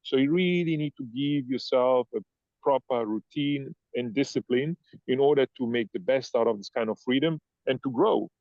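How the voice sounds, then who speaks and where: A man's voice: mid-range voice, moderate pace, a little mellow, no room sound, dull audio. A man whose first language is German and whose accent is a mix of German and Italian, talking casually as an interview guest, rambling and searching for words. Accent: mixed German-Italian. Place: Ibiza.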